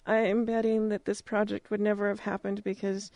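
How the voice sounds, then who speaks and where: A woman's voice: medium pitch, level tone, brisk, a little mellow, dry recording. An American woman hosting a casual interview, talking in an informal, chatty way. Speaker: interviewer, radio drama producer.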